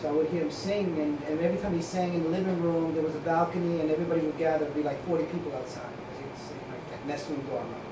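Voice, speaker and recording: A man from Italy with an Italian accent, a guitarist guest telling his story in a relaxed, reflective way. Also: a little high, very fast, few pauses. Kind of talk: casual chat. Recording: echoey room, distant mic, background noise.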